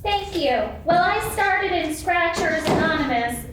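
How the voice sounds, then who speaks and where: A woman voicing a confused pet cat confessing a biting habit, halting, in an amateur puppet show. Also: very high-pitched, expressive. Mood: sheepish, troubled. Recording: echoey convention room, distant, faint noise.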